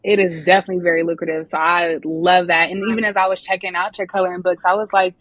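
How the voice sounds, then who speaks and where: A woman's voice: fairly low pitch, flat delivery, fast, no echo. A woman hosting a podcast, keen and curious as she asks her guest questions. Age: young adult or older; has a daughter. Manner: enthusiastic, asks warm follow-up questions. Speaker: podcast host. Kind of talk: casual interview talk.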